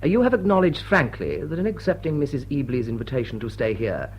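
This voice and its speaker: An actor playing a suave, drily witty English lord with an upper-class British accent, formal and deliberate in a period radio drama. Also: male voice, mid-range voice, big pitch swings, a little mellow, breathy edge. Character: suave, sardonic English lord chairing a meeting. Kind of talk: period drawing-room radio drama acting.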